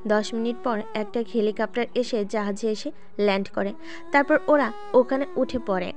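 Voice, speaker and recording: Higher-voiced woman narrating over music. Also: normal speed, a little mellow. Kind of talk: scripted story narration. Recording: music bed under the voice.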